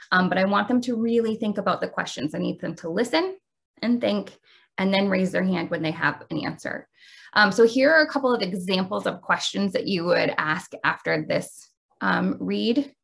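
A middle-aged woman with an American accent, explaining and reading aloud as a teacher of young children in an expressive way. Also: mid-range voice, vocal fry. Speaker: teacher demonstrating a read-aloud.

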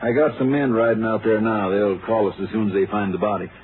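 A man's voice: fairly low pitch, fairly even intonation, fast talker, lightly acted. Man doing plain folksy sheriff, old radio western, thin sound, bit of hiss. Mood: matter-of-fact. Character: plain-spoken, helpful male county sheriff. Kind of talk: 1950s radio western acting. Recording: narrow, faintly noisy old radio recording.

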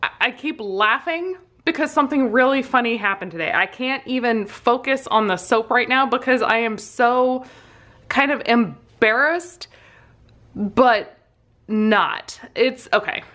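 A woman in middle age with a fairly high voice chats casually through a personal story. She sounds amused and poking fun at herself, with the animated delivery of a vlogger. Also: a little slow. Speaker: vlogger and soap maker.

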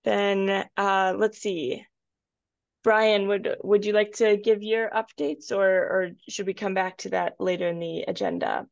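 A woman's voice: mid-range voice, steady tone, a little slow, creaky, no room sound. A woman hosting an informal meeting over a video call, speaking in a tentative, hesitant manner. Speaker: meeting host. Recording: muffled video call audio.

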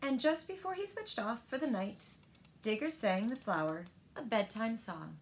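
A woman in her thirties reading a picture book aloud to children in a gentle, deliberate manner, pausing to ask them questions. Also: on the high side, expressive, slight background noise. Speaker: library storyteller. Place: indoors, small living room by windows.

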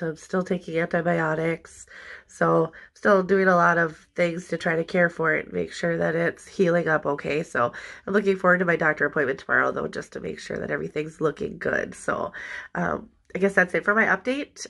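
A woman's voice: sits low, gravelly, fairly bright tone. A woman in her thirties with a stuffy, congested nose, chatting casually to camera in a rambling personal update full of ums and likes. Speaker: vlogger. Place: small indoor room.

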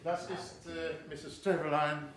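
An actor playing an urbane gentleman detective in a radio play, suave, polite and self-assured. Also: fairly high pitch, level tone, takes it slowly. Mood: courteous.

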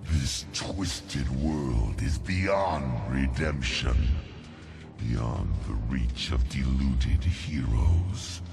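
The final chamber of a fantasy game, where a fallen giant drags out each menacing word in a deep, gravel-crusted voice over loud music. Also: male voice, expressive, heavily acted. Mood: grim menace. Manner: slow, menacing, drawn-out phrases. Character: grim ancient fallen male giant, contemptuous and commanding. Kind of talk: video game character acting.

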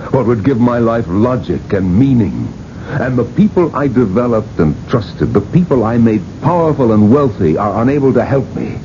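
An actor playing a domineering older industrialist obsessed with living forever, imperious and emphatic, in a radio drama. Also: male voice, lowish voice. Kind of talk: 1980s radio drama acting and first-person narration. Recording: muffled, noisy vintage radio broadcast.

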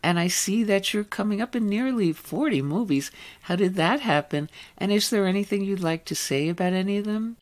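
A woman interviews a guest as host of a radio show. Her questions sound prepared in advance, and her pitch is in the middle range. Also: expressive, a little fast, hardly any pauses.

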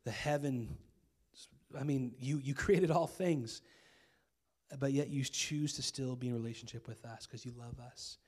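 Hoarse man, thirties, American accent, relaxed conversational sermon, handheld mic. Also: mid-range voice, unhurried. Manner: casual, conversational preaching with many fillers. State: hoarse from a cold. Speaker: pastor. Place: church stage with string lights.

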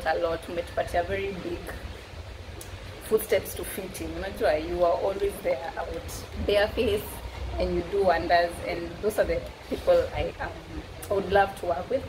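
A woman in her thirties with a Kenyan accent, chatting casually and informally to camera as a makeup artist. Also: on the low side. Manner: informal, mixes Swahili into English. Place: indoors against a plain dark wall. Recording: clearly noisy background ambience.

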